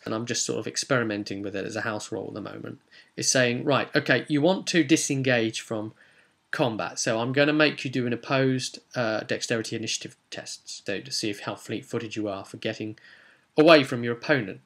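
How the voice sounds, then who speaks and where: Male game master, mid-pitched voice, for a chatty tabletop session recap; wandering, self-correcting delivery with dramatic rhetorical questions. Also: vocal fry. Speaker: game master and video host. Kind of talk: informal recap of a tabletop role-playing session.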